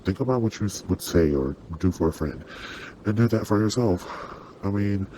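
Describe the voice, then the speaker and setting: Very deep, mellow, gentle demon, voice-changed performer. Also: expressive, moderate pace, a little hiss or hum. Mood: tender, encouraging. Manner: soothing, reassuring, conversational. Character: gentle, apologetic sleep paralysis demon comforting a human. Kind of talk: ASMR roleplay.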